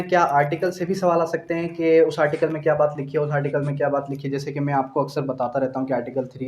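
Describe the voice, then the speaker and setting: A man's voice: mid-range voice, a little mellow. A man with a Pakistani accent teaching an exam-prep law lecture, explaining by asking questions and answering them, mixing in English legal terms. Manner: mixes English legal terms and quotations into speech.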